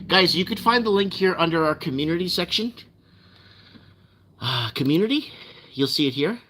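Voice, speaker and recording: Man, portuguese native, live-stream host, bright forceful voice, slightly high, rambling, repeats words. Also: moderate pace. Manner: rambling, animated, repeats himself. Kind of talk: casual live-stream news commentary.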